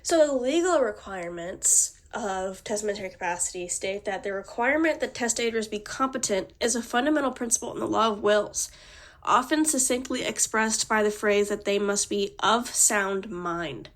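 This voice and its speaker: A woman's voice: mid-range voice, normal speed. A woman with a cold and a slightly nasal voice, reading out a prepared class presentation with small slips and restarts. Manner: reads formal text with small slips and restarts. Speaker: student presenter.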